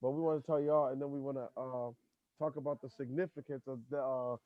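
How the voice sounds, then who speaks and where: A man's voice: mid-range voice, fairly even intonation, a little fast, no room sound, phone-quality sound. A man hosting a podcast in loose, profane casual chat, talking in restarts. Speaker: podcast host.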